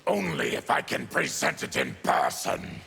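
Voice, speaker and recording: A man voicing a fierce, bitter, diseased mutant warrior in a video game, with a gravelly, raspy growl and grim, forceful delivery. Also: on the high side, huge pitch swings, heavily acted. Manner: grim, forceful, slow declarations.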